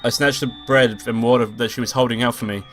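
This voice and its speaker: A man's voice: mid-range voice, steady tone, fast. A man with a cold and a nasal voice, hosting a let's play and reading out a visual novel with casual, swearing asides. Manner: swearing, offhand asides between read lines. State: sick and nasal. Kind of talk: casual let's play commentary and read-aloud. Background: game music.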